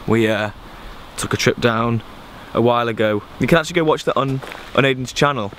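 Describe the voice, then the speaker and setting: A man's voice: medium pitch, fairly quick, fairly bright tone. A young man from the United Kingdom, chatting casually and jokingly while exploring an abandoned theme park with friends. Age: young adult. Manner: jokey, laughing with friends. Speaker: vlogger. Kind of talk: casual vlog chat. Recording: outdoor camera audio with background noise.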